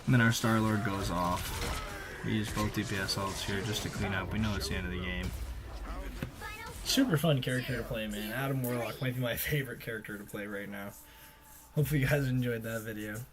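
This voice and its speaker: A man giving casual, slangy voice-over commentary on gameplay, conversational with animated reactions. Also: fairly low pitch, brisk. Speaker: gaming video creator.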